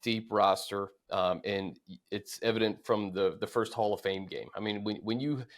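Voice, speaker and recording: A man's voice: on the low side, normal speed. An American man hosting a sports show, talking conversationally and stressing key points as he recaps stats. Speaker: sports podcast host. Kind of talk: casual sports talk.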